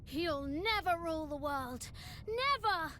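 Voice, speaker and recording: A high, bright young boy hero. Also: expressive, slow, a little hiss or hum, exaggerated voice. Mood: defiant. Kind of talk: video game cutscene acting.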